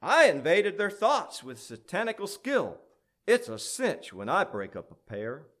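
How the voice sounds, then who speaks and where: Guy playing a jealous shriveled imp in a story poem, high voice, sly and super emphatic. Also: huge pitch swings, a little slow, fairly bright tone, exaggerated voice. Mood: boastful, sly. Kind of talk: dramatic poem recitation.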